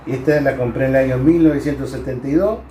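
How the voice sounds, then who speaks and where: A man's voice: medium pitch, fast talker, warm tone. An older man with an Argentine accent from Entre Ríos, reminiscing in casual conversation. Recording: roomy echo, clear background noise.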